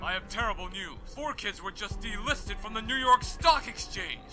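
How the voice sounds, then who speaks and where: A man's voice: sits high, animated, normal speed. A man voicing a meek, hesitant servant who speaks deferentially in a parody cartoon. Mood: nervous, deferential. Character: meek, hesitant servant delivering bad news. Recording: music playing behind.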